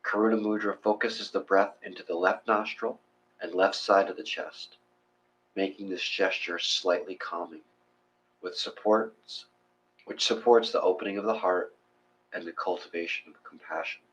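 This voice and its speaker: Guy with lowish voice doing guided meditation, gentle and measured, reading it out. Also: fairly even intonation, rough recording.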